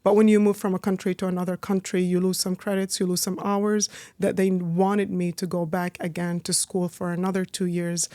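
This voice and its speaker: A woman's voice: fairly low pitch, level tone, fairly quick, few pauses, dark tone, dry recording. Chatty Palestinian-born woman, dry sound. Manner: chatty, heavy use of "like" and "you know". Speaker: guest, business owner. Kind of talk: casual interview talk.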